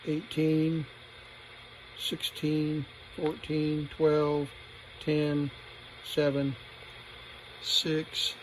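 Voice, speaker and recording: A man giving a casual hands-on repair demonstration, unhurried and murmuring to himself as he works. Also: fairly high pitch, monotone, poor audio. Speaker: vintage radio repair hobbyist, presenter. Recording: clear background noise, room ambience.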